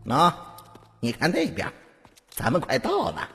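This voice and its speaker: Man voicing a chatty, sly Taoist priest, swooping pitch. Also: huge pitch swings, a little slow, sharp tone, exaggerated voice. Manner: talkative, gossipy, familiar. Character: chatty, smug, sly male Taoist priest, gossiping eagerly. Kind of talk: audiobook character acting. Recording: long hall reverb, music behind.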